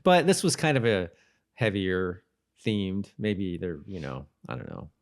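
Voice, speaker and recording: Lively, low-voiced man chatting casually. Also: unhurried. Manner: informal, conversational. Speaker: podcast co-host. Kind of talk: casual chat about a TV episode.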